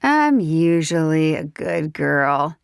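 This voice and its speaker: A woman's voice: sits low, very animated, slow pace, vocal fry, clear, resonant tone, no echo, clean studio sound, heavily acted. A woman voicing a tipsy, cheeky young woman with a Southern accent, sassy and giggly in an audiobook. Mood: coaxing. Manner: giggly, sassy, folksy exclamations.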